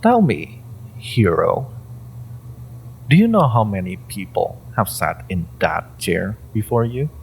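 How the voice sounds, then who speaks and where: A man playing a suspicious, coldly patient agency interrogator in a dramatic audio roleplay, speaking deliberately and sardonically with pointed pauses. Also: on the low side, gravelly, breathy edge, full character voice, rough recording. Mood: menacing, pressing.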